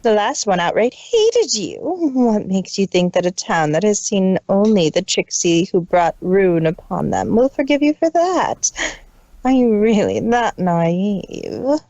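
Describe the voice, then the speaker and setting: A woman's voice: sing-song, warm tone, dry recording, highs cut off. Woman as cold, cruel scheming pony sister. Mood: scornful. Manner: low, deliberate, ice-cold delivery.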